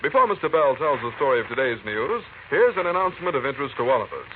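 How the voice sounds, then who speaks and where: A man's voice: mid-range voice, sing-song, quick pace, narrow, tinny audio, slight background noise. An American man reading scripted 1940s radio announcements in a formal, emphatic way on an old broadcast recording.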